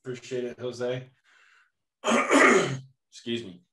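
A hoarse man giving an informal Bible teaching in a relaxed, chatty way, often clearing his throat. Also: medium pitch, slow pace. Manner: relaxed, chatty, with light laughs. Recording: roomy echo.

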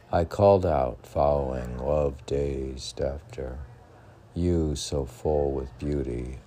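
A man hosting an informal poetry podcast, reading aloud haltingly and stumbling over words, with muttered asides. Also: rumbling low, mellow, slight background noise.

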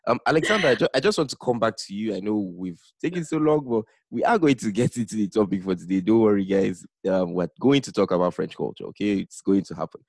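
Deep, gravelly podcast host. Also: male voice, animated, quick pace, few pauses, a little mellow, no room sound. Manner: playful, teasing questioner. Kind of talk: casual podcast interview.

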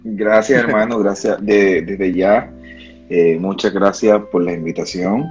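Dominican man with a low voice, hesitant guest on a dull lo-fi stream. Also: steady tone, unhurried, soft background music. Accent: Dominican, from Santiago. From Dominican Republic. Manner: hesitant, many fillers and restarts. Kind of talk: casual livestream chat.

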